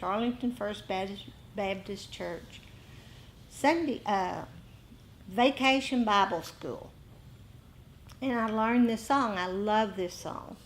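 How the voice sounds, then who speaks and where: Woman giving an informal dharma talk, slow pace, bit of room noise behind her. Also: medium pitch. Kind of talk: informal spoken dharma lesson to a group. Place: indoor group meeting.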